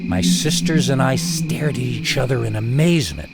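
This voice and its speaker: A man reading a first-person scary story as a narrator, expressive and dramatic, leaning on words with deliberate pauses. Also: mid-range voice, vocal fry, over loud music.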